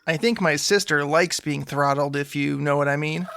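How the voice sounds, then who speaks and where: A man playing an anxious, awkward young man in an audio drama, sheepish and rambling with deadpan jokes and a creaky voice. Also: a little high, normal speed, slight background noise. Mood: joking, rambling. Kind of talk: audio drama dialogue, conversational.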